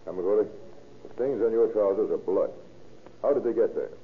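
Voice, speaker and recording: An American actor playing a brisk, commanding police captain in an old radio crime drama. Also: male voice, on the low side, lightly acted. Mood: businesslike. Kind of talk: 1930s radio drama acting. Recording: noisy, tinny old radio recording.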